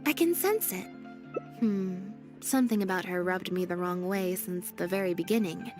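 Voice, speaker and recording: A woman's voice: on the low side, moderate pace, a little mellow, soft background music, natural voice. A woman playing a composed, perceptive young woman in a video game, speaking thoughtfully.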